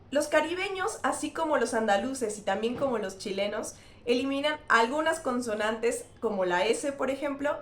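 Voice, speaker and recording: A woman linguist hosting a scripted educational explainer, speaking clearly and spelling out examples. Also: a little high, roomy sound. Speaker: linguist and channel host.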